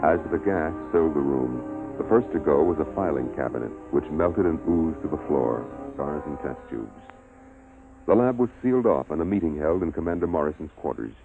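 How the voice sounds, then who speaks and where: A grave narrator for a vintage radio science fiction play. His voice is very low and formal as a military report. Music sits clearly behind the muffled broadcast sound. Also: expressive, moderate pace, full character voice. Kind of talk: 1950s radio drama narration. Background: dramatic music.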